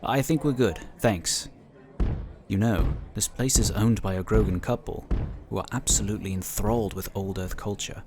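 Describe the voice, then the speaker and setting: An actor playing an amiable, knowledgeable psionic inquisitor who explains things in a talkative, encouraging way in an audio drama. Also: male voice, on the low side, moderate pace, background noise, lightly acted. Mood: friendly.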